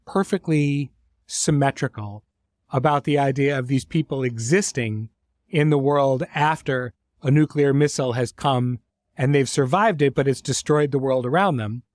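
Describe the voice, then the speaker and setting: Male writer-creator, mid-pitched voice, closely recorded without room sound. Reflective, articulate podcast conversation, fond of imagery. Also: a little mellow, dry recording. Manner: articulate, explanatory, uses vivid imagery.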